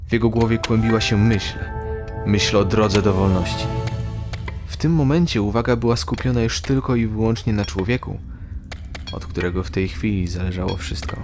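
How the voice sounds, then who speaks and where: Deep-ish guy narrating a fantasy audio story, music really loud behind him. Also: normal speed.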